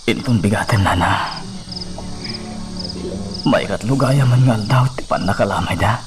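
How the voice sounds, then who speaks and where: A man's voice: fairly low pitch, a little slow, over music, lightly acted. An actor playing a grieving, respectful young man in a radio drama, with an Ilocano accent. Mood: subdued, grateful.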